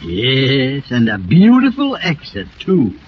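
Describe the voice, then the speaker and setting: A man's voice: mid-range voice, huge pitch swings, takes it slowly. An older man playing a kindly, wry small-town mayor in 1940s radio drama, with a folksy, chuckling manner. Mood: gently teasing. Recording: muffled noisy 1940s radio recording.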